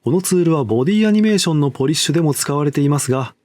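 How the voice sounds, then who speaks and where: A man's voice: on the high side, lively intonation, rapid-fire, a little mellow, dry recording. A man giving a scripted technical presentation as a game developer, explaining step by step in a polite, orderly way.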